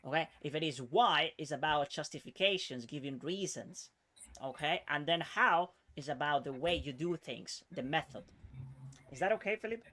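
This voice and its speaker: Patient male English teacher, bright voice. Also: a little high, lively intonation, normal speed. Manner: patient, checks in with frequent "okay?"; switches to Spanish to explain. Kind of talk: one-on-one English lesson.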